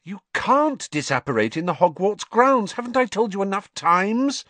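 A man's voice: a little high, big pitch swings, normal speed, a bit bright, dry recording, voice pushed up. A man voicing a clever, bossy teenage schoolgirl in an audiobook, precise and exasperated.

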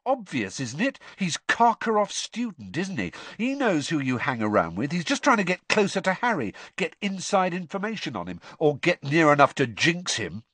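A man's voice: fairly high pitch, wildly swooping pitch, moderate pace, hardly any pauses, fairly bright tone, dry recording, voice pushed up, exaggerated voice. A man voicing a jealous, sulky teenage schoolboy in a storybook, scathing and sneering. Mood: nasty.

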